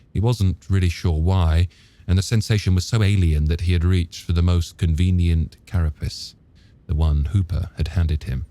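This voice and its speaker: A man's voice: sits low, dark tone. A man reading a novel aloud as an audiobook narrator, in scripted literary narration.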